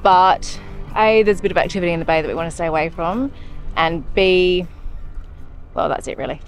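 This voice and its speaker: A woman's voice: fairly low pitch, a little fast, faint music behind. A woman in her thirties talking casually to a handheld camera on the deck of a catamaran, easygoing and matter-of-fact. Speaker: sailing vlogger. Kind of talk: casual vlog narration. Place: on deck of a catamaran at sea, outdoors. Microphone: handheld camera at arm's length.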